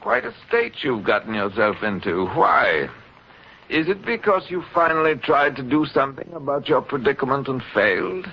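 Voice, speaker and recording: An actor playing a suave, coolly menacing doctor with measured, polite speech in 1940s radio style. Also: male voice, medium pitch, big pitch swings, a little hiss or hum. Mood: taunting, cold. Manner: measured, polite, sinister, mock-hesitant. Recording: dull, lo-fi 1940s radio recording.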